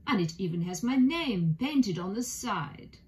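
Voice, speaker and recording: A woman voicing a kindly, formal older gentleman with courteous, measured speech in a children's storybook reading. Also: medium pitch, expressive, close to an everyday voice. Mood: pleased.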